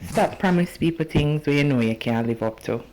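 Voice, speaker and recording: A Jamaican listener leaving a recorded message for the show, with a mid-pitched voice and a blunt, matter-of-fact delivery. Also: a little fast, darkish tone, a little hiss or hum, low-quality recording. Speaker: radio listener.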